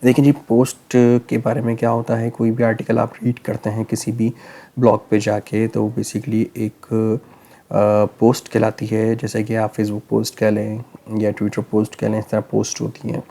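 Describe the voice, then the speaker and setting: Hoarse, gravelly man explaining a tutorial. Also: on the low side, normal speed. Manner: relaxed step-by-step explaining with frequent check-ins. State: hoarse, sore throat. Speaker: tech tutorial host. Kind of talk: screen-recorded tutorial narration.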